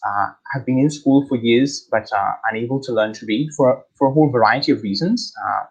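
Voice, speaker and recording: A man's voice: mid-range voice, husky, low-quality recording. An Australian man hosting an online talk, speaking with many fillers and restarts over a video call. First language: English. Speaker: host.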